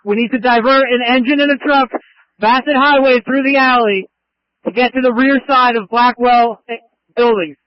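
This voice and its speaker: A man's voice: extremely high pitch, fairly even intonation, normal speed, no room sound. A man speaking as a fire chief over a two-way radio, giving urgent, clipped orders from a fire scene. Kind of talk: urgent fireground radio traffic. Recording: muffled lo-fi emergency scanner radio.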